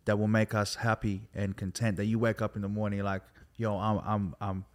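An Australian man in his thirties from Sydney, a hip hop artist talking as a guest in a relaxed, rambling way, peppered with "like" and "bro". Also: lowish voice, a little fast. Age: 30s. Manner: laid-back, loose and rambling, full of "like" and "bro". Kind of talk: casual conversation.

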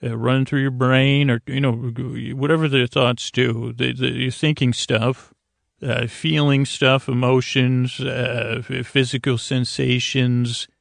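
Man, gravelly creak, dry close sound, lulling podcast host, halting rambling bedtime monologue. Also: mid-range voice, little pitch movement, dry recording. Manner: meandering, halting, repeats and trails off mid-thought; soothing, lulling. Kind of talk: rambling bedtime monologue to help listeners sleep.

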